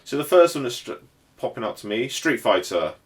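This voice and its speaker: A man's voice: mid-range voice, very animated, brisk. A British man co-hosting a podcast, chatting casually in a slangy, matey way and putting questions to his partner. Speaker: podcast co-host. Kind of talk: casual chat.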